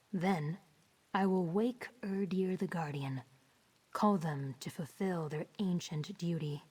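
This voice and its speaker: A woman voicing an innocent, newly awakened plant spirit in a video game, with breathy, simple, formal phrasing. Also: on the low side, a little mellow. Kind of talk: scripted video game dialogue.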